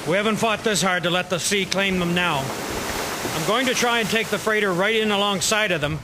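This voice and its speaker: Over a clear rush of sea noise comes a resolute freighter captain, acted by a man with a high voice. He is daring and commanding, a figure from a stormy historical audio drama. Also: natural voice. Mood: grim resolve. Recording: loud rushing sea and wind noise.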